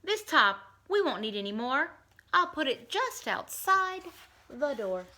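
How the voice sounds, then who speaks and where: Slow, sing-song woman reading a storybook. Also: high voice. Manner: slow, sing-song and expressive, with character voices. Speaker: teacher reading a picture book aloud. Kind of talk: storybook read-aloud for children.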